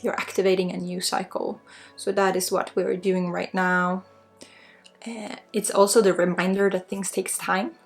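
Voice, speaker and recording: A woman from Sweden with a Swedish accent guides a spiritual healing ASMR session in a soft, breathy, informal and halting way, often dropping toward a whisper. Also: fairly low pitch, level tone. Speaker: spiritual guide, energy healer. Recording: soft music under the voice. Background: soft music.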